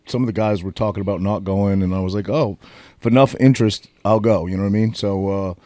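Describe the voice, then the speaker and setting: Low, mellow-voiced New guy. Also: steady tone, fast, dry recording. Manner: rambling, profane, storytelling with filler words. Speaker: podcast co-host. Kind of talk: casual storytelling chat.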